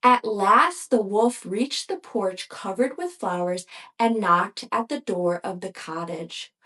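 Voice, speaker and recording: Slow, lively children's storyteller, woman. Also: medium pitch. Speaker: storyteller and ventriloquist. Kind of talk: children's read-aloud.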